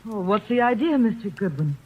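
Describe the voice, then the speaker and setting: A woman's voice: mid-range voice, sing-song, fairly quick. A nervous young woman. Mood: wary. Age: young adult. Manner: wary, anxious replies. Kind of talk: 1940s radio acting. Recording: dull old radio recording.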